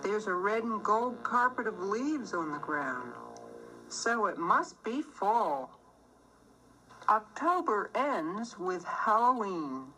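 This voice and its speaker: A woman reading a children's book aloud as scripted narration, deliberate and expressive. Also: mid-range voice, poor audio.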